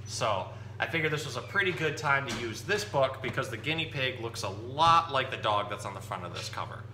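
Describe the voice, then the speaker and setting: A man's voice: medium pitch, lively intonation, a little fast. A man giving an informal, explanatory animal lesson and reading a picture book aloud for children. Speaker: zookeeper presenting animals and reading stories to children. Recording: roomy echo with background noise and hum.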